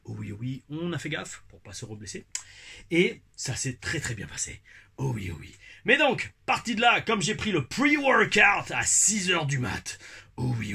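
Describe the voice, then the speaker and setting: A man in his forties hosts a casual video review, talking in an exuberant, rambling way full of repeated catchphrases. His voice is bright and edged, a little husky, and sits somewhat high for a man. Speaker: wrestling review host.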